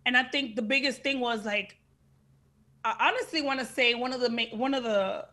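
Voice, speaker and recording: Bright, frank woman with Dominican-tinged English. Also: fairly high pitch, normal speed. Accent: Dominican Spanish-tinged English. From Dominican heritage. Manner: frank, emphatic, streetwise, swears freely. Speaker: actress guest. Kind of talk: casual podcast interview. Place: indoor room with plain backdrop. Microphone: video call.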